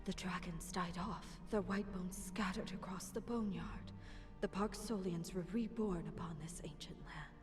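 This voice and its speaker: An actress playing a solemn mystical guide who tells ancient lore in measured fantasy game narration. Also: female voice, lowish voice, natural voice. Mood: solemn, mournful. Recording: long reverb, game music behind.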